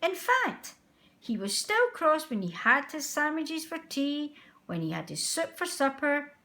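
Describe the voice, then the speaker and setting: A woman narrating a children's storybook aloud in an expressive, deliberate way. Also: high-pitched.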